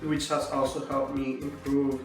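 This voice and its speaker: Man, mid-pitch, relaxed testimonial speaker, recorded from a distance in a reverberant room. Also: unhurried. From Miami. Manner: casual, reflective, frequent "right?" and "you know". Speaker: course student giving a testimonial. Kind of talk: testimonial interview. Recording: echoey room, distant mic, faint music.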